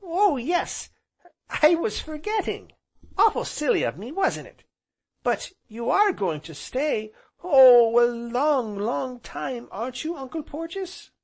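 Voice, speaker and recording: A man putting on an eager, high-pitched little boy. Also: big pitch swings, takes it slowly, vocal fry, exaggerated voice. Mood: excited, happy. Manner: breathless, gushing, childlike phrasing. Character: eager, chattering small boy, openly affectionate. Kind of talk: audiobook character voice.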